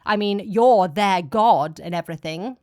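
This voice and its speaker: A woman's voice: medium pitch, a little slow, a little mellow, no room sound, lightly acted. A smug male vampire professor, played by a woman in an audiobook story. He is cheeky and flippant, tossing out remarks as if nothing matters. The recording is dry and close. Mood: cool, challenging. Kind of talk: dramatic audiobook character voice.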